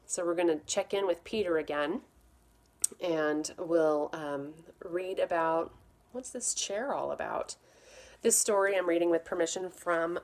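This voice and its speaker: A woman teacher reads aloud to young children at a very unhurried pace. Her voice sits a little low, and her delivery is gentle and expressive, speaking straight to her listeners. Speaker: teacher reading aloud to pupils.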